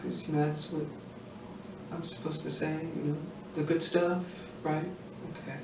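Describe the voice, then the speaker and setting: Man acting faltering son, halting, reluctant, high voice, dramatic monologue, echoey room. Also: little pitch movement, lightly acted. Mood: uneasy, subdued. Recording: echoey room, distant mic, faint hiss.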